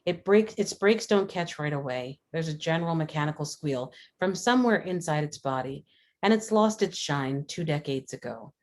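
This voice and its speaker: A woman's voice: low-pitched, animated, normal speed. A woman reading screenplay stage directions as scripted narration, matter-of-fact in delivery. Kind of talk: scripted narration, table read.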